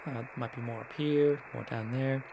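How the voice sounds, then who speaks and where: A man's voice: mid-range voice, steady tone, moderate pace. A man presenting a casual, conversational screen-share tutorial in an informal way, with small hesitant asides. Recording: clear background noise.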